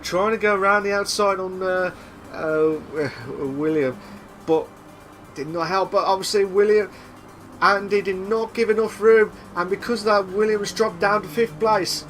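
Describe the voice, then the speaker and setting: A young man doing live sim racing commentary, excitable and repetitive, with a voice he says he is losing. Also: high-pitched, a little slow, faint music behind. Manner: excitable, repeats himself, shouts at big moves. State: losing his voice.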